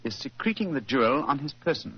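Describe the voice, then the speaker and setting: An actor playing a shrewd, unflappable private detective in a precise, formal, theatrical 1940s radio style. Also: male voice, mid-range voice, very animated, brisk, close to an everyday voice. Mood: analytical, calm. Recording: muffled old-time radio recording.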